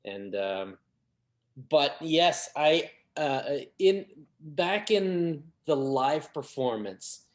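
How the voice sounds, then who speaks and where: Halting man in casual conversation. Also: a little high, animated. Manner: halting, repeats himself. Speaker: voice actor in an audio drama. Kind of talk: casual chat.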